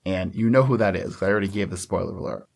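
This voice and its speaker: A man's voice: deep voice, very fast, gravelly. A man co-hosting a library podcast in casual, joking chat. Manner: chatty, joking, with laughs and restarts. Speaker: podcast co-host.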